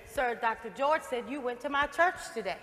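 A woman's voice: high voice, a little fast, a crowd in the background, natural voice. A middle-aged woman playing a devout, outspoken maid in a musical stage play, with a folksy, emphatic delivery. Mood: pleading. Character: devout, outspoken maid full of fervent faith. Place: theatre stage. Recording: live stage with long reverb, music.

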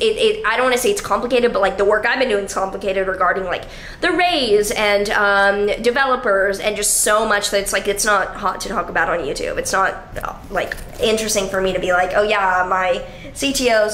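High-voiced woman rambling in echoey room. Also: slight background noise. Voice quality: high, bright voice. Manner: rambling, frequent "like" fillers, casual swearing. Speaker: vlogger. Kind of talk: casual vlog chat. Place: apartment living room. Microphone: handheld camera at arm's length. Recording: echoey room with faint ambience.

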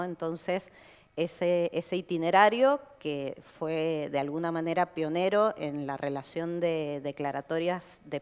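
Argentine woman on a conference panel, low-ish voice, smooth, lots of ehs, reverby hall. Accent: Argentine Spanish. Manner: measured, many hesitation fillers. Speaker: panelist, heritage expert. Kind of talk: panel discussion. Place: conference stage, indoors. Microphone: headset mic. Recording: large hall with long reverb.

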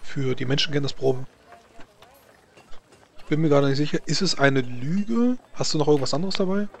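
A man's voice: fairly high pitch, normal speed. Male game master, faint background noise. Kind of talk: casual tabletop game narration.

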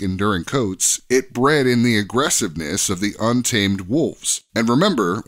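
Male podcast guest expert, lower voice, very animated intonation, articulate storyteller for informative history segments. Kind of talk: informative scripted-sounding podcast explanation.

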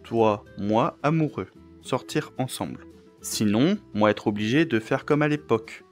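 A man streaming a VR roleplay, joking loudly and laughing often. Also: medium pitch, unhurried, soft background music. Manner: joking, loud, bursts of laughter. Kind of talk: playful in-character roleplay banter. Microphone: VR headset mic.

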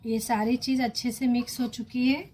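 A woman's voice: a little high, level tone, normal speed, darkish tone. A woman with an Indian accent giving a step-by-step recipe voice-over as a home cooking host. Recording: clearly noisy home recording.

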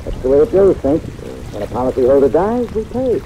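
Fast-talking, lively radio investigator. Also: male voice, a little high. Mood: dry, matter-of-fact. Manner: clipped, businesslike, dry. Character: brisk, matter-of-fact male insurance investigator. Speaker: radio drama actor. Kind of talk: 1940s radio acting. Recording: muffled old radio recording, rain noise.

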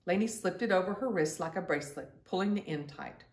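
A woman's voice: lowish voice, a little fast. A middle-aged woman hosting a children's storytime, reading aloud in a storytelling style at home. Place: indoor room at home. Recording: roomy, distant-mic home recording.

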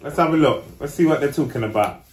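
A man in his thirties hosting a casual group reaction chat on a sofa, hyping things up with excited shouts. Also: a little high, quick pace, roomy sound. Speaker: host of reaction show. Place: indoor room on a sofa.

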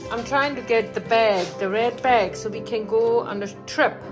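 A woman's voice: a little high, moderate pace, over music. A woman talking casually to her dogs at home in doting baby talk, repeating her phrases, recorded on a handheld phone. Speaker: pet owner narrating home video. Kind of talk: casual pet-directed chatter. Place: indoors at home, hallway. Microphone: handheld phone camera, speaker behind it.

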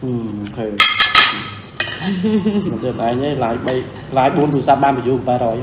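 A man's voice: mid-range voice, fairly even intonation, a little fast, very noisy. A man in his thirties chatting casually while eating lunch at home, filmed on a phone at the table. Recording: echoey room with clinking cutlery. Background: cutlery and dishes clinking.

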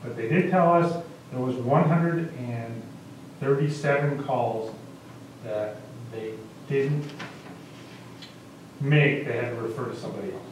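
A man's voice: mid-range voice, unhurried, long pauses, a little hiss or hum. A man explaining matters plainly as a council member at a public meeting. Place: small council chamber, indoors. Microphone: distant camera microphone. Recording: very echoey room from far off.

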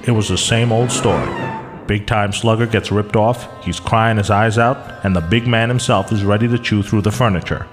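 Male actor as hard-boiled detective, low pitch, deadpan wisecracks, mock radio noir, music behind. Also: moderate pace, lightly acted. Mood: deadpan, world-weary. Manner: deadpan, clipped tough-guy delivery. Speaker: detective narrator.

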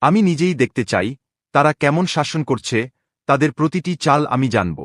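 A man playing a proud, vengeful young king in a cartoon drama, speaking gravely and declaiming with cold resolve. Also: on the high side, expressive, moderate pace, no room sound, studio quality. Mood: defiant, resolute. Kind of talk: dramatic Bengali cartoon voice acting.

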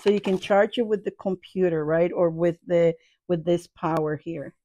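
Low-voiced woman chatting playfully. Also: steady tone, normal speed, mellow. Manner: playful, addresses viewers affectionately, repeats phrases. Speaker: video host reviewing products. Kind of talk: casual chatty product review. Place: small cluttered room indoors.

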